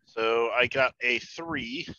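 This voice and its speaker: A man's voice: mid-range voice, flat delivery, slow, bright, forceful tone, clean tone, no room sound, dull audio. A man chatting casually as a player in a tabletop role-playing game.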